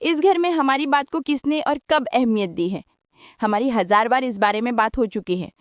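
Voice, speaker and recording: A woman's voice: on the high side, moderate pace, no room sound, studio quality, natural voice. A woman playing a resigned, aggrieved elder daughter-in-law and mother in a Hindi family audio story. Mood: wistful, aggrieved.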